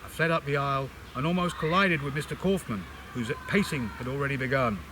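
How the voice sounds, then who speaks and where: An older man reads aloud in an expressive literary way, with measured, dramatic phrasing. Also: medium pitch, a little hiss or hum. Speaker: amateur reader introducing and reading a book extract.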